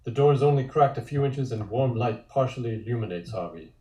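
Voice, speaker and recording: A man narrating a scripted comic table read in measured, descriptive storytelling, with echoey room sound. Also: medium pitch, expressive, mellow.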